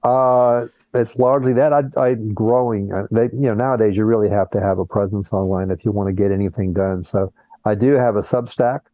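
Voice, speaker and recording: A man's voice: on the low side, steady tone, brisk, dark tone, no room sound, low-quality recording. An older man who is a plastic surgeon, talking earnestly in a conversational interview over a video call and often restarting his words. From born in Colombia, raised in Brazil and the US.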